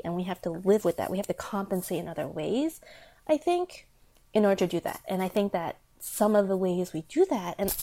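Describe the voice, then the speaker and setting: A woman's voice: fairly low pitch, warm tone, no echo. A young woman hosting a podcast, talking through her thoughts in a solo monologue, earnest and full of fillers and restarts. Her first language was Thai Chinese. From United States. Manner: earnest, thinking aloud with many fillers and restarts. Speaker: podcast host.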